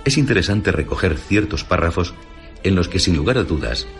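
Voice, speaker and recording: A man's voice: deep voice, animated, brisk, soft background music. A man giving scripted documentary narration for a radio programme.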